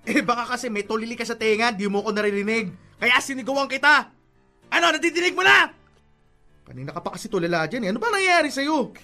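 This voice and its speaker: A man's voice: very high-pitched, quick pace, creaky, soft background music, full character voice. A man with a Filipino accent acting a teasing, pushy young cousin in a radio drama. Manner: animated, ribbing, bossy. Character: teasing, pushy young male cousin giving love advice.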